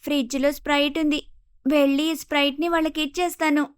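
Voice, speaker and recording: A woman's voice: high voice, level tone, fast talker, lightly acted. A woman voicing a polite, naive young daughter-in-law with an Andhra Telugu accent, exclaiming in a cartoon story. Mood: puzzled. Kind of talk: acted dialogue in animated moral story.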